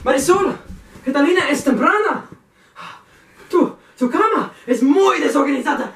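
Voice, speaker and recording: Woman, slow halting skit lines, swingy pitch, far from mic w/ bit of noise. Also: lively intonation. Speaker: student performer. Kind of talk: amateur scripted skit for a language class. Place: inside a family house. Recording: echoey room, distant mic, faint noise.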